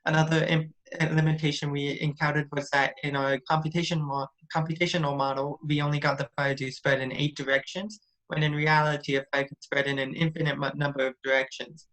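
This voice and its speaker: A man's voice: a little high, flat delivery, brisk, fairly bright tone, rough recording. A young man giving a prepared student project presentation, occasionally stumbling and restarting on words.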